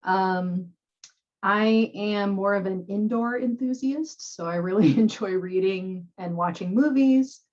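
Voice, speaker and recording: A woman's voice: mid-range voice, takes it slowly, darkish tone. A woman advisor from California, upbeat and laughing easily as she introduces herself on a webinar video call. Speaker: academic advisor. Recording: dull lo-fi call audio.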